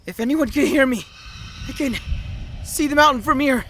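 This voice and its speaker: A man's voice: extremely high pitch, normal speed, pushed and bright, slight background noise. A man playing an armed ex-police officer leading survivors through a jungle in an audio drama, urgent and commanding. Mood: shouting, urgent.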